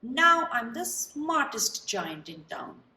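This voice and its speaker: A woman's voice: a little high, sing-song, takes it slowly, bright, forceful tone, heavily acted. A woman voicing a kindly, proud male giant from a children's storybook, with cheerful, singsong delivery. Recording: roomy, distant mic, faint background noise.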